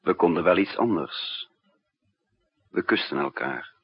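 Casting: world-weary male spy recounting his story, deep and swinging dramatically, for a muffled vintage radio drama. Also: lively intonation, a little slow, gravelly, breathy edge, full character voice. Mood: grave, tender. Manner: dramatic first-person storytelling. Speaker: narrator and lead character. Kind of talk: 1960s radio drama acting. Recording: dull muffled 1960s radio recording.

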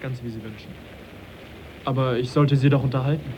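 An actor playing a polite, earnest naturalist in a radio drama, reasoning in a measured, dryly ironic way. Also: male voice, mid-range voice, fairly even intonation, dark tone, a little hiss or hum, close to an everyday voice. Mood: calm, wry. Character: polite, earnest, quietly provocative young naturalist.

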